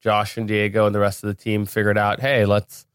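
A man's voice: lowish voice, monotone, a little fast, clean tone, dry recording. A man speaking casually as an interview guest on a podcast, recorded in a studio.

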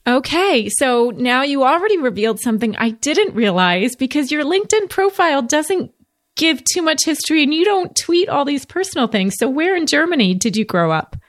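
A woman hosting a podcast interview, friendly and quick to laugh. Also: high-pitched, big pitch swings, dry recording, clean studio sound. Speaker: podcast host. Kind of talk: conversational interview.

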